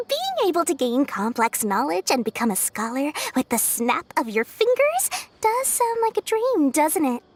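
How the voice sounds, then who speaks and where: A woman's voice: sits high, huge pitch swings, measured pace, faint music behind, full character voice. A woman voicing a shrewd, playful young female merchant in a video game, chatty and sly. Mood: explaining, shrewd. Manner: sly, chatty, smooth salesmanship.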